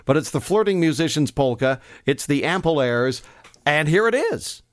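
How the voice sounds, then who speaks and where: A man's voice: a little high, lively intonation, normal speed, music in the background. A man hosting an oldies radio show, chatting casually and wryly between records, teasing his listeners with a few fillers along the way.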